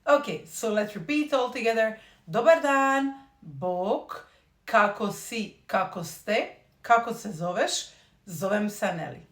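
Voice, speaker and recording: A woman from Croatia with a Croatian accent, giving a casual online language lesson and slowly repeating phrases for learners. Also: mid-range voice, expressive, reverberant. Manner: slow, careful repetition of phrases. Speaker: language teacher.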